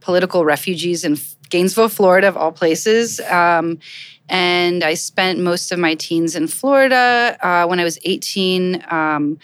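Chatty low-voiced woman, dry sound. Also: normal speed, smooth, dry recording. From Poland. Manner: chatty, many ums and likes. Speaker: guest artist. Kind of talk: conversational interview.

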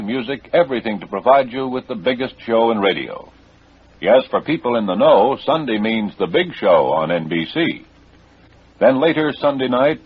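Husky male announcer, dull vintage radio sound. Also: on the low side. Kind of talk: 1950s radio promotional read.

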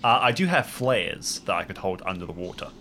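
A man's voice: sits low, sing-song, fairly quick, bright, forceful tone. An earnest, talkative man chatting as a player in an improvised tabletop role-playing game, a little fussy. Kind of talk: casual tabletop role-play chat. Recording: faint background noise and ambience.

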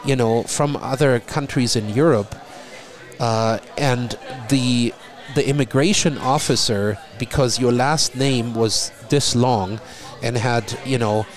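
A middle-aged man from Germany with a German accent, talking in a relaxed conversation and often repeating a word as he starts a thought. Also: medium pitch, takes it slowly. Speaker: guest. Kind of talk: casual conversation.

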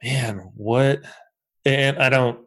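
A man's voice: medium pitch, very slow. A man in his fifties hosting a casual interview, reflective and rambling, laughing now and then. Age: 50s. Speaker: podcast host.

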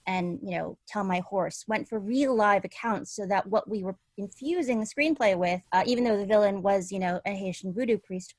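A woman from Connecticut gives conversational interview answers as a screenwriter. She sounds earnest and emphatic, with a middling pitch. The recording is dry, with hardly any room sound. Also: moderate pace, few pauses, dry recording. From Connecticut, United States.

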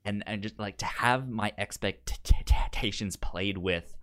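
Lowish-voiced man, halting and stammering, podcast chat. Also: fairly bright tone. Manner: halting, stammers repeatedly on word beginnings. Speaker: podcast co-host. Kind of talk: casual film discussion chat.

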